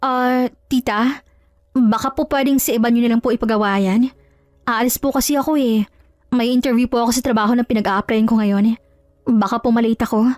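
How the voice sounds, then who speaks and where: A woman playing a proud young woman in a love story, courteous but firm and quick to stand up for herself. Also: on the high side, fairly even intonation, a little mellow, no echo, studio quality, lightly acted. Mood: polite, pleading. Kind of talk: dramatized audio love story.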